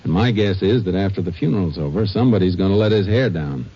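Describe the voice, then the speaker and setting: A man in a 1950s radio drama who narrates and plays a steady, terse lawman, a ranger giving orders. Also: sits low, fast talker, slightly rough. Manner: terse, level and commanding. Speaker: narrator and lead. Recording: dull lo-fi old radio broadcast.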